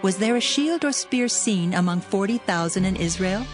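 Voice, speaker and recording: A woman's voice: medium pitch, lively intonation, normal speed, over music, natural voice. A woman playing a commanding prophetess and mother of her people in a dramatized Bible reading, declaiming solemnly.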